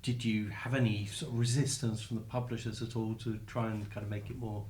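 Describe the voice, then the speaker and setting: A man interviewing an author, asking literary questions in a tentative, halting way. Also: lowish voice, flat delivery, a little mellow, slight background noise.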